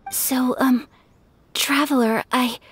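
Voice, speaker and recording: An actress playing a gentle, refined young noblewoman in a video game, speaking politely and softly with a shy, earnest manner. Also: high voice, fairly even intonation, takes it slowly, husky, no echo, heavily acted. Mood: nervous, hesitant. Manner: polite, soft-spoken, hesitant.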